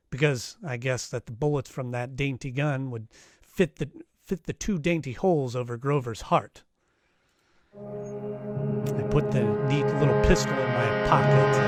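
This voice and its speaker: An actor playing a wry, tough private detective, narrating and questioning in an old-time radio crime drama. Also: male voice, medium pitch, close to an everyday voice. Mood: wry, sober. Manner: wry, matter-of-fact.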